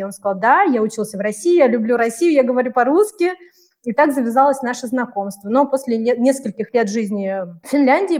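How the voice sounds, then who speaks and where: A woman's voice: high-pitched, a little mellow. A woman from the far north, talking fluently as an interview guest and explaining things with little quoted examples. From Murmansk region, Russian far north. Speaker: interview guest, Russian language teacher living in Tanzania. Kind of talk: conversational interview answers, explaining and storytelling.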